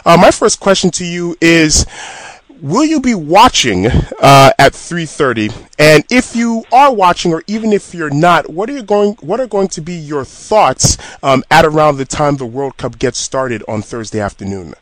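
A man hosting a sports podcast, reading an introduction and asking questions in an even, formal way. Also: fairly high pitch, normal speed, no room sound, rough recording. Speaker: podcast host. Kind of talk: podcast interview and scripted intro.

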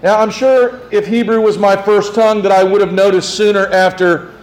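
A man's voice: sits high, steady tone, normal speed, darkish tone, low-quality recording. A man preaching a sermon as pastor, in a conversational way, asking the congregation questions and teasing them.

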